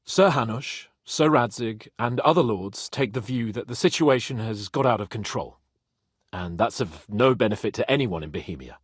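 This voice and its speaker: An actor playing a haughty, hot-headed young nobleman in a video game, pompous and sharp-tongued. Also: fairly low pitch, lively intonation, normal speed, slightly rough, dry recording, studio quality. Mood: formal, diplomatic.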